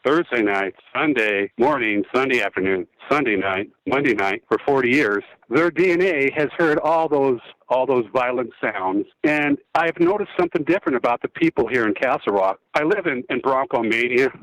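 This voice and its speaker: A man's voice: mid-range voice, animated, normal speed, hardly any pauses, a bit bright, dry recording, muffled recording. A man in his sixties, a guest in a phone-in interview, talking with impassioned, preachy conviction that swings from outrage to sorrow. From United States. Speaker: guest, former football quarterback.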